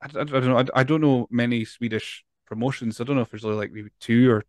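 A man's voice: mid-range voice, steady tone, quick pace, a little mellow, dry recording. A man in his thirties with a Scottish accent, hosting a podcast and asking long, rambling questions with restarts, on a close studio mic in a home studio. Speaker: podcast host. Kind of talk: casual interview chat. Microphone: headphones and a large studio mic close up.

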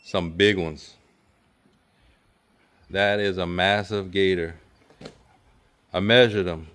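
A middle-aged man talks in a relaxed, plainspoken way, telling the day's story outdoors. His voice sits a little low. It is caught on a handheld camera held at arm's length. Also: takes it slowly. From Louisiana. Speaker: outdoors vlogger. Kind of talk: casual outdoor vlog. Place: outdoors, lakeside dock and open shed.

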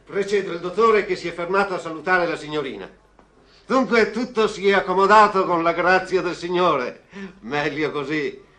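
High-voiced chatty country priest. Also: male voice, moderate pace, bright, forceful tone. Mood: benign. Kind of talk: Italian radio play acting.

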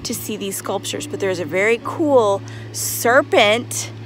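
A woman's voice: mid-range voice, expressive, slow, faint music behind, low-quality recording. A woman in her thirties from Texas, chatting casually to camera on a family road-trip vlog, filmed on a phone held at arm's length outdoors. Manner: chatty, playful mock news-reporter asides. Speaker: family travel vlogger. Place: outdoors in windy desert and lookouts.

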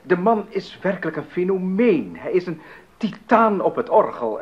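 An actor playing an enthusiastic, music-loving count in a 1980s radio play, with effusive, formal aristocratic diction. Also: male voice, on the high side. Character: enthusiastic, courtly German count, music lover. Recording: dull, noisy 1980s radio recording.

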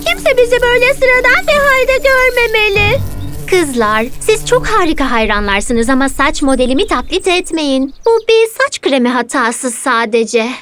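A woman's voice: very high-pitched, lively intonation, moderate pace. A woman voicing a vain, touchy, melodramatic female puppy in a children's cartoon dub. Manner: melodramatic, indignant. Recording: loud music behind the voice.

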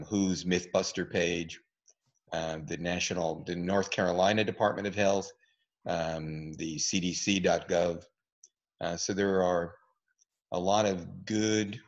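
Man, very low voice, relaxed explaining, many fillers, dull video call audio. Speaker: presenter, physician. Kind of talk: online talk and Q&A.

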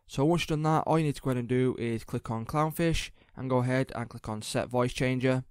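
A man presenting a casual, step-by-step software tutorial in informal, repetitive instructional phrasing. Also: medium pitch, brisk, smooth, dry recording, clean studio sound.

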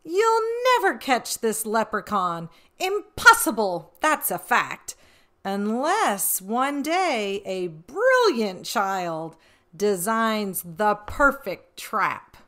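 A woman's voice: medium pitch, big pitch swings, sharp tone, pitched below the performer's own voice, heavily acted. Woman reading as a cocky, drawling storybook leprechaun. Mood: smug, boastful. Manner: taunting, boastful, rhyming, drawn-out delivery. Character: cocky two-hundred-year-old male leprechaun, mischievous storybook trickster.

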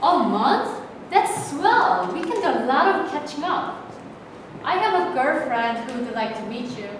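A woman's voice: high voice, big pitch swings, a little slow. A woman playing a friendly, forgiving sweetheart of a soldier in a staged play reading. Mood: friendly, flirty. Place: bare indoor rehearsal room. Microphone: distant camera microphone. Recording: echoey room from far off, clear noise.

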